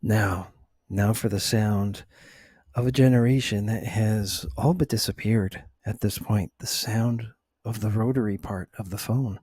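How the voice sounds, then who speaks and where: A middle-aged man speaks in a soft, lowish voice with a husky grain. He takes his time, chatting reflectively as an ASMR host. The sound is close and dry. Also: a little slow, no echo. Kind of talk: relaxed ASMR chat, explaining sounds.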